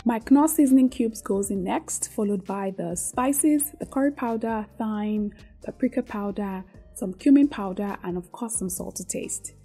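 A woman's voice: medium pitch, a little slow, warm tone, soft background music. A woman hosting a cooking tutorial, addressing viewers directly in a scripted, presenter-like way. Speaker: cooking show host.

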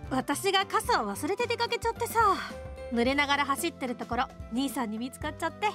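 High, lively, tomboyish younger sister. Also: female voice, brisk, over music. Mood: sheepish, protesting. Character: cheerful independent younger sister, tomboyish warrior girl. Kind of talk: Japanese anime game radio drama acting.

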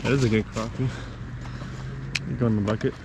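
A man's voice: on the low side, fairly even intonation, brisk, vocal fry, very noisy. A man giving casual on-the-spot commentary as host of a fishing video, recorded outdoors on an action camera. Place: outdoors on a frozen lake while ice fishing. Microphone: action camera worn on the body.

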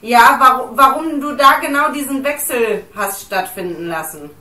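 A woman's voice: a little high, normal speed, bright, forceful tone. A woman hosting an interview, asking friendly, casual questions. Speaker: interviewer, podcast host. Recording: roomy sound, far from the mic.

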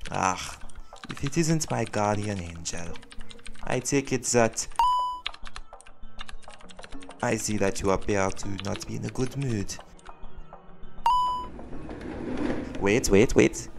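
A man's voice: lowish voice, measured pace, vocal fry, over music. A man playing a proud, bantering werelion vigilante in an audio roleplay, theatrical and formal in his wording. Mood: wry.

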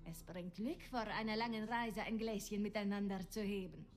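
A woman voicing a friendly, sociable female sailor in a dubbed video game, speaking in a warm, hearty manner. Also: medium pitch, fairly even intonation, moderate pace, music in the background, lightly acted. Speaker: game character.

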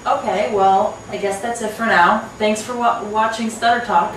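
A woman's voice: on the high side, steady tone, normal speed. A young woman guest host with a stutter, chatting casually. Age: young adult. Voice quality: stutters, repeating sounds and words. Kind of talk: casual chat. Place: small indoor living room. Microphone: far camera. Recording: distant mic, echoey room, faint hiss.

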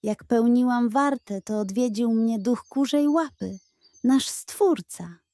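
A woman's voice: fairly high pitch, takes it slowly, warm tone, dry recording. Woman voicing a gullible, bossy mother hen. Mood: wistful awe. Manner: clucking, emphatic. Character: bossy, gullible female hen leading her flock.

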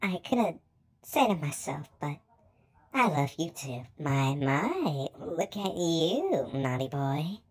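A woman's voice: low voice, big pitch swings, slow pace, darkish tone, husky, full character voice. A woman voicing a moody young female demon, brash yet affectionate, in a cartoon comic dub. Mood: teasing, affectionate. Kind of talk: fan comic dub acting.

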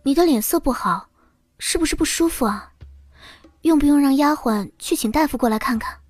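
A woman voicing a calm young princess consort in an audio drama, soft and nonchalant with mild sarcasm. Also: high-pitched, normal speed, dry recording, soft background music. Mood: feigned concern. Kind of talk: Chinese audio drama acting.

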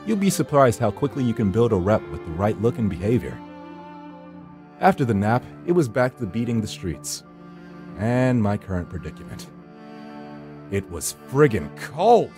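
A man with a fairly low, mellow and dark voice narrates a first-person horror story. His delivery is brooding and theatrical, suited to a visual novel, with faint music behind him. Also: big pitch swings, normal speed, pauses often.

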